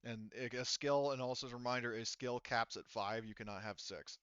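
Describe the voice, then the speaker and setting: A man runs a tabletop game as game master in casual conversation. His voice sits at an average pitch. The recording is slightly dull and very dry. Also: brisk, a bit bright, clean tone, no room sound.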